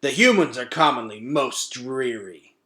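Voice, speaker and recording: A man's voice: medium pitch, animated, measured pace, pushed and bright. A man reading a children's storybook, voicing a hungry, haggling six-foot rat with emphatic, archaic, mock-polite speech. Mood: amused, rueful. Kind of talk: children's storybook read-aloud.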